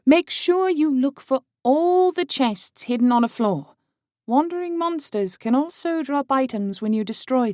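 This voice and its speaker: A man's voice: a little mellow, no echo, clean studio sound. A man voicing a blunt young woman adventurer in an audiobook, explaining things patiently. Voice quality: high, light voice. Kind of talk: audiobook character voice.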